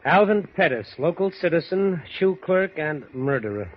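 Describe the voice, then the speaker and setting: A man's voice: a little high, animated, normal speed. An actor playing a wry, cynical young newspaperman in a 1940s radio drama. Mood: laconic. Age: young adult. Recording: dull, noisy 1940s radio recording.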